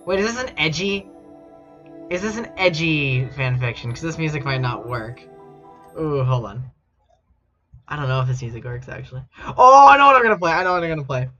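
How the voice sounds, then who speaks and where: A man's voice: a little high, animated, fairly quick, pushed and bright. A man streaming casually and reading fan fiction aloud in a mock-dramatic, amused and incredulous way. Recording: dull lo-fi mic with music behind. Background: background music.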